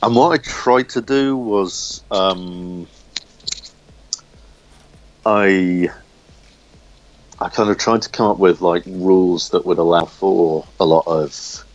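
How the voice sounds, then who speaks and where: Really low-voiced guy in a casual interview, kinda halting, repeats words, muffled phone recording. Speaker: interviewed comic book writer. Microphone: smartphone recorder.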